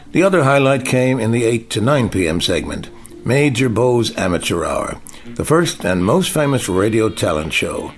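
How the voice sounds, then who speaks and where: An older man, a veteran broadcaster, reading a scripted radio feature in a measured, polished way. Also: lowish voice.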